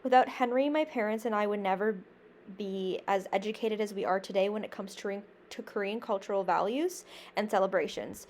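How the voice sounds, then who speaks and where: A woman's voice: medium pitch, brisk, darkish tone, a little hiss or hum. A young woman from Canada, a university student, reading a prepared class presentation in an earnest, careful way, with small slips and restarts. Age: young adult.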